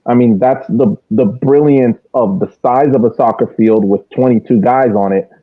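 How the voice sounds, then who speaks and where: A man's voice: medium pitch, moderate pace, slightly rough. A thin, phone-like recording of a man with a mid-range voice. He talks soccer casually as a podcast host, emphatic and circling back to repeat his points. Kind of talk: casual match analysis. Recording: thin phone-like band-limited sound.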